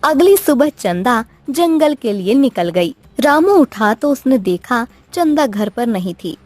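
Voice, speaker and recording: A woman's voice: on the high side, big pitch swings, measured pace, no room sound. A woman storyteller reading scripted narration for a cartoon moral tale.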